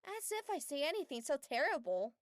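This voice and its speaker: Anime dub heroine: virtuous, frank young woman voiced by an actress, earnest and gentle, slightly high pitched. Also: sing-song, a little fast, no echo, clean studio sound. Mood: offended. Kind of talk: anime-style fan dub acting.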